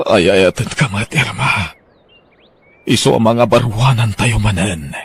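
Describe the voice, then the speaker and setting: A man playing Ray, a hurt but forgiving husband in an Ilocano radio drama, with a rough gravelly voice. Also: fairly low pitch, takes it slowly, a little hiss or hum.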